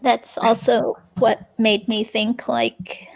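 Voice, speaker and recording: A woman's voice: a little high, little pitch movement, gravelly, full character voice, low-quality recording. A woman reading the part of a hesitant, chatty ex-girlfriend questioned by police in a dramatic transcript reading. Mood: confiding. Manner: hesitant, halting, casual.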